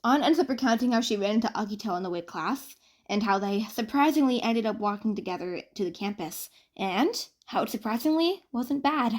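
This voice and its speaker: A woman reading fan fiction aloud as a narrator, in an expressive audiobook style. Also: a little high, a little fast.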